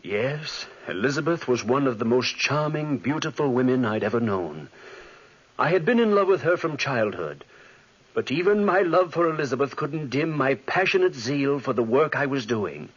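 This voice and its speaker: An actor playing a driven, haunted young gentleman scientist in earnest, formal, dramatic 1940s radio drama style, with a husky voice. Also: mid-range voice, big pitch swings, normal speed. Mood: intent. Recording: muffled 1940s radio recording.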